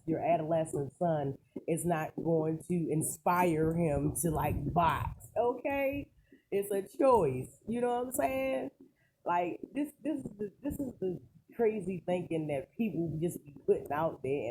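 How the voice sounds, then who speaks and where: A commentator giving casual, rambling opinions in an emphatic, colloquial way, often throwing in rhetorical questions. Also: medium pitch. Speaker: online celebrity news commentator. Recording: clearly noisy recording with clicks.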